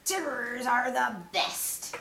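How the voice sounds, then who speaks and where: A woman voicing a bouncy, boastful, exuberant male toy tiger with loud storybook exclamations. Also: a little high, slow pace, vocal fry. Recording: distant echoey room with faint noise.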